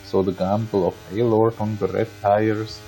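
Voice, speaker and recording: An Austrian man, a native German speaker, commentates on a motor race in a low, creaky voice. His tone is soft-edged and dark, his delivery conversational with playful asides. Music plays behind him. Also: level tone, normal speed, low-quality recording. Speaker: sim racing league commentator.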